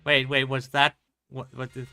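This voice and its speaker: A man giving casual, halting and sarcastic live commentary as he streams a video game. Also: mid-range voice, completely flat delivery, sharp tone, clear, resonant tone. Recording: faint game music behind.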